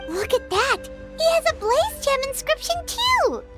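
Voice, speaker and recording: A woman voicing a tiny, excitable, childlike fairy companion in a video game, squeaky and exclamatory. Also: measured pace, heavily acted. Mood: excited, perky. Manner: exclamatory, speaks of herself in third person. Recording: music playing behind.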